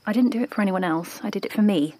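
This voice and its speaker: A woman playing a wary, reluctant escaped parrot in an audio drama, guarded, anxious and halting. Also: mid-range voice, dark tone, close to an everyday voice. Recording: music behind the voice.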